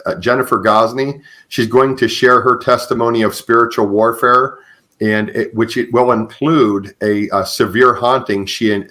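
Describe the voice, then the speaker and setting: A man's voice: fairly low pitch, pushed and bright. A man hosting a conversational podcast interview, speaking in hesitant phrases with frequent acknowledgements. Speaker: podcast host and author.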